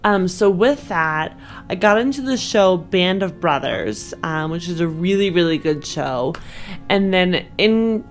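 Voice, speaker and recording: A woman's voice: lowish voice, expressive, unhurried. A woman co-hosting a podcast in a casual, conversational way. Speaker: podcast co-host. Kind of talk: casual chat.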